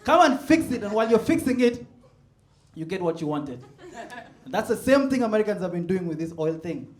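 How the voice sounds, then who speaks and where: A man's voice: high-pitched, big pitch swings, fairly quick. A man from Sudan, performing a stand-up set in a comedy club and laughing at his own punchlines. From South Sudan. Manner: laid-back, chuckles at his own jokes, builds to loud punchlines. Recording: roomy live club sound.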